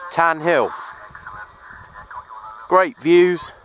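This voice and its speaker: A man's voice: fairly high pitch, extremely slow, heavy background noise. A man chatting informally in a matey way as he narrates an outdoor CB radio session. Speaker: CB radio operator and vlogger. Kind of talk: casual outdoor vlog and radio chat. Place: outdoors on an open hill.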